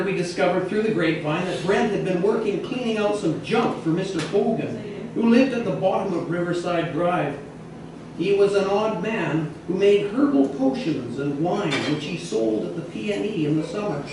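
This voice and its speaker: A man reading a humorous true story aloud as a storyteller, expressive, with some hesitant repeated words. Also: high voice. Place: echoey indoor bistro. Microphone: distant microphone in the room. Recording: roomy, echoey, noisy, distant mic.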